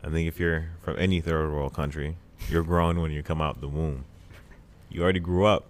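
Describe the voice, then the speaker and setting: A man's voice: rumbling low, fairly even intonation, fairly quick. A 21-year-old man chatting as a podcast guest in his living room, joking dryly and rambling. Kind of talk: casual podcast chat.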